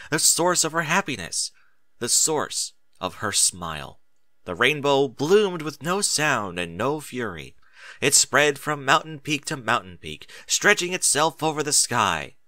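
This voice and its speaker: A man reading a scripted story as a narrator, in an expressive and deliberate dramatic style. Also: medium pitch, dry recording. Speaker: narrator of fan fiction audiobook.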